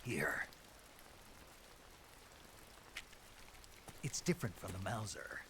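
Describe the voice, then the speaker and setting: An actor playing a gruff, terse and determined soldier who is protective of his partner, in a dramatic video game. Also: low-pitched, big pitch swings, normal speed, background noise. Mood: low, instructive. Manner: terse, commanding.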